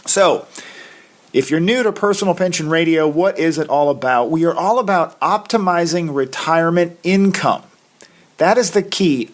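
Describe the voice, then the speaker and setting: A middle-aged man from Maine hosting a solo podcast, his voice raspy from a cold, talking in a folksy, conversational way with plenty of filler. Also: fairly high pitch. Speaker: podcast host, financial planner. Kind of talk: casual solo podcast talk.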